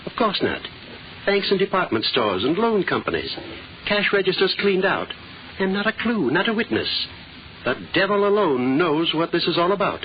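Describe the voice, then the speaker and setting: A man's voice: high voice, sing-song, normal speed, dull audio, a little hiss or hum. A man playing a formal, easily exasperated insurance representative in old-time radio drama style, on an old recording. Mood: incredulous, exasperated. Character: fussy, incredulous businesslike male insurance representative.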